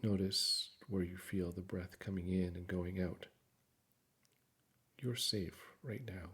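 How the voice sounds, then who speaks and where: A man narrating a guided meditation in a calm, soothing, measured way, with frequent pauses. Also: deep voice.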